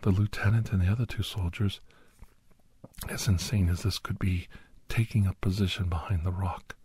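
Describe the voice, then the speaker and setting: Solemn doctor-narrator for ASMR war drama, played by a man: deep, breathy, intense, close and dry. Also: fairly even intonation, a little mellow, dry recording. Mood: grave, shaken. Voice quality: breathy, husky, often near whisper. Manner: halting, short phrases, solemn and intense. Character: doctor recounting a harrowing battle beside his young ward. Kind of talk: dramatic first-person ASMR storytelling.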